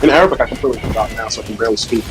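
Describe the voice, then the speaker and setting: A man's voice: medium pitch, very fast. A man chatting casually on a livestream, explaining things in an informal, hesitant, rambling way. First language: Somali. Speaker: livestream host. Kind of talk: casual chat, explaining language learning. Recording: music behind the voice.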